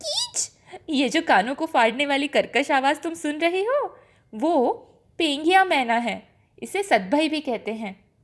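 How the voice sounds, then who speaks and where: A woman's voice: sits high, very animated, measured pace. A woman voicing a friendly uncle who works as a forest guide, explaining things in a children's story. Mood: cheerful, explaining. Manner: whispering, explanatory.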